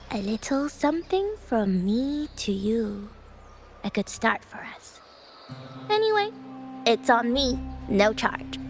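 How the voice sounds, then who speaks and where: High, unhurried, playful girl mystic. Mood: sly, coaxing. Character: playful, cryptic young female Taoist mystic. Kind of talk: video game character acting.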